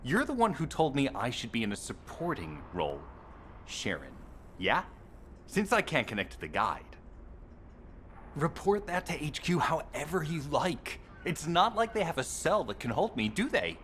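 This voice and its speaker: A cocky game soldier with a very animated, swooping delivery. He is sarcastic and flippant, swaggering with a bitter edge. Faint noise sits behind the voice. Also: a little high, normal speed. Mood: sarcastic, defiant. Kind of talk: video game cutscene acting.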